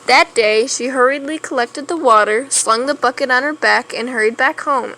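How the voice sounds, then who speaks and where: A woman's voice: sits high, fairly bright tone, poor audio. A woman reading a children's story aloud as narration. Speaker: storybook reader.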